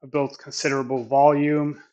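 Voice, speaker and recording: A man whose first language is Swedish, talking in English through a training session and reflecting on it in a slow, halting way. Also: mid-range voice, flat delivery, mellow. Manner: slow, thoughtful, halting phrasing. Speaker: athlete training on camera. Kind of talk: reflective training vlog narration.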